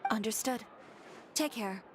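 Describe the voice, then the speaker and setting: A woman's voice: fairly high pitch, a little slow, music in the background, close to an everyday voice. A woman playing a proud, headstrong fashion designer in a video game, curt, composed and defiant. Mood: cool defiance. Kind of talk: video game character acting. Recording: background ambience noise.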